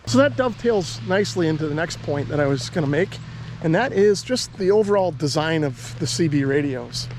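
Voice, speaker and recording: A man's voice: a little high, a little mellow, slight background noise. An American man talking casually to viewers as a hobbyist host, explaining his points in an easygoing, conversational way. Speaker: radio hobbyist and video host.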